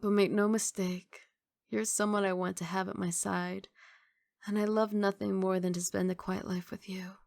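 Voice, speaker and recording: A woman acting out a female mafia boss who is guarded yet tender with a secret lover. Her voice is on the low side with plenty of fry, soft and measured, recorded close and dry like intimate ASMR roleplay. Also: dry recording. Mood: serious, regretful.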